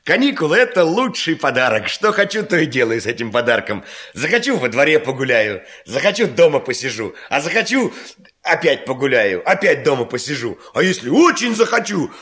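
Carefree slacker schoolboy for radio comedy: naive, cheeky, high voice, swooping intonation. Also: male voice, big pitch swings, a little fast, fairly bright tone. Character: carefree, lazy, literal-minded schoolboy joker. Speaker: performer in a children's comedy radio show. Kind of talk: scripted children's radio comedy dialogue.

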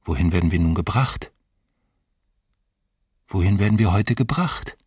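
A solemn man recites mournful verse in a radio drama. The voice is very deep and slow, set a little away from the mic in a dry space. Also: lively intonation, a little mellow, husky, no room sound, recorded from a distance, heavily acted. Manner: solemn, deliberate phrasing. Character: solemn male reciter of a mournful memorial poem. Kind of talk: poetry recitation in a radio play.

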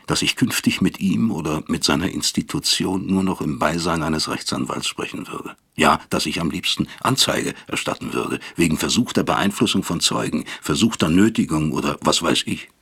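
An extremely deep, creaky and husky male narrator in a radio play. He broods like a remorseful father, reflective, literary and bitter. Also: normal speed, hardly any pauses, a bit bright, exaggerated voice. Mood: fearful, self-reproachful. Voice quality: gravelly, husky. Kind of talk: radio drama first-person narration.

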